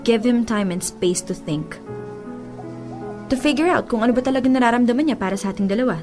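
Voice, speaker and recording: A woman's voice: fairly high pitch, a little fast, close to an everyday voice. Woman acting a defiant, jealous young ex-girlfriend, Filipino accent. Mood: firm, challenging. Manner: confrontational, emphatic, taunting. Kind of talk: radio drama acting in mixed Tagalog and English. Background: music.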